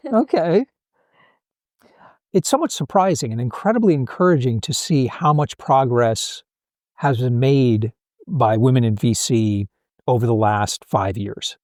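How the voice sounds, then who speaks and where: A man's voice: mid-range voice, unhurried, a little mellow, no echo, studio quality. A man hosting an interview podcast, asking questions in a casual way and thinking aloud with fillers. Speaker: podcast host. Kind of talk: interviewing a guest.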